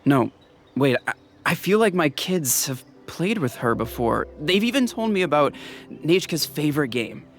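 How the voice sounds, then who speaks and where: A man's voice: on the high side, sing-song, moderate pace. A man playing a flustered, worried villager in a video game, with a fretful, hesitant delivery. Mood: confused.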